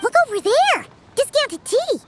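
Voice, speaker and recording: Tiny game fairy girl, extremely high squeaky voice, swooping intonation, excitable, curious, music behind. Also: unhurried, noisy background, full character voice. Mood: surprised, puzzled. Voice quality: squeaky, childlike. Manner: excitable, refers to herself in third person. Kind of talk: video game voice acting.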